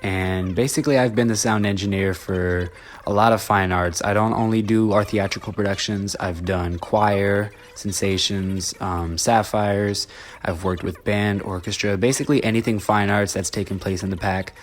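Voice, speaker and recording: A young man in his late teens with a deep voice, casually explaining sound equipment in a theater booth. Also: moderate pace, slight background noise. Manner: relaxed, chatty explaining. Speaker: student sound engineer.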